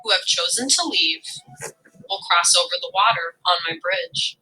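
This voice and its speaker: A woman voicing a loving but easily exasperated earth goddess in a legend, declaiming slowly and grandly. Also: fairly low pitch, expressive, pushed and bright, low-quality recording. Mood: commanding. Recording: music behind the voice.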